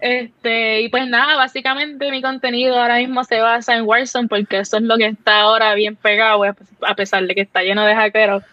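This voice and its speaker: A woman's voice: on the high side, level tone, brisk, hardly any pauses. Young Puerto Rican female guest, easygoing, on a muffled lo-fi line. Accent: Puerto Rican Spanish. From Puerto Rico. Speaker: streamer guest. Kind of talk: casual chat. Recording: dull lo-fi audio.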